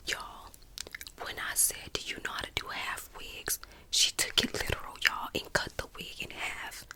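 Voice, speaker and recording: A woman playing an embarrassed, indignant scam victim in an ASMR comedy, halting and repetitive with folksy phrasing. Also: sharp tone. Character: embarrassed, indignant girl complaining about ruined wig. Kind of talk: whispered ASMR roleplay.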